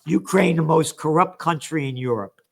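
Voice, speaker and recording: An older man reads out news headlines and tears into them with angry, profane sarcasm. His voice sits a little high for a man and swings from low grumbling mutters to loud outbursts. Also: moderate pace. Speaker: trends commentator and host. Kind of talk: ranting news commentary read from headlines.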